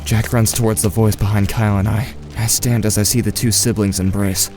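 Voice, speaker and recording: A man playing a watchful, tentative teenage boy, giving measured first-person narration in an audio drama. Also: lowish voice, little pitch movement, a little mellow, faint music behind, natural voice. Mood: measured, tense.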